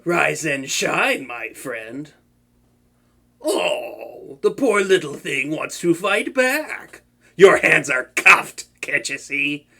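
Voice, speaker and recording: A young man voicing a boastful but soft-hearted male supervillain in a comedic audio roleplay, theatrical and stammering when flustered. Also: on the high side, big pitch swings, very slow, full character voice. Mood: playful smug.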